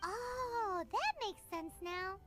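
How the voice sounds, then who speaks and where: Fairy sidekick: extremely high, squeaky, childlike, earnest and outspoken, anime fantasy game. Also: female voice, animated, very slow, exaggerated voice. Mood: curious. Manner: earnest, exclamatory, refers to self in third person. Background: game music.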